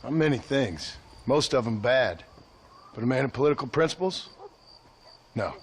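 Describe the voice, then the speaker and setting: Terse American outlaw cowboy. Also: male voice, mid-range voice, expressive, moderate pace, slightly rough. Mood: defiant, dry. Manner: terse, dry, laconic. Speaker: video game character. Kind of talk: game cutscene acting, Western drama.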